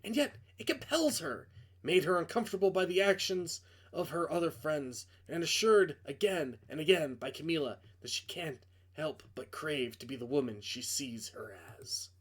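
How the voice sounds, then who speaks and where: A man's voice: fairly high pitch, animated, moderate pace, breathy edge. A man narrating a visual novel aloud in a dramatic read-aloud style as he plays it. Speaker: video game player and narrator.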